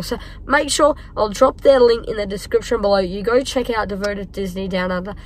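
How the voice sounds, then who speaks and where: An Australian woman in her thirties with a croaky voice, chatting casually to camera from a parked car on a phone held at arm's length. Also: mid-range voice. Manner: chatty, upbeat, many fillers. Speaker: vlogger. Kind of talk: casual vlog talk to camera.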